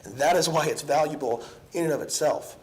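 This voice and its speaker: A hoarse young man giving an evening sermon in a church, earnest and halting, with many ums and restarts. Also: fairly high pitch, a little mellow. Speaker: preacher. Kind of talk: evening sermon with casual asides. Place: church sanctuary.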